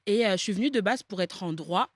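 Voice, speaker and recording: A woman's voice: mid-range voice, brisk, dry recording, clean studio sound. A woman in her twenties, a podcast guest, talking casually with lots of fillers and easy laughs. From France. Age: 20s. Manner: relaxed, many fillers, laughs easily.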